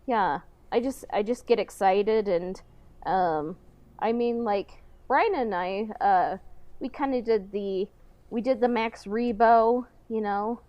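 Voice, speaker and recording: Slow-talking woman in casual podcast chat. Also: medium pitch, muffled recording. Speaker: podcast co-host.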